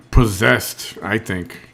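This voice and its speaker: A man with a somewhat low voice hosts a relaxed live-stream show. He talks in a halting, unscripted way, restarting and repeating words as he works out what he thinks. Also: vocal fry. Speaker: host. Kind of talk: casual live-stream chat.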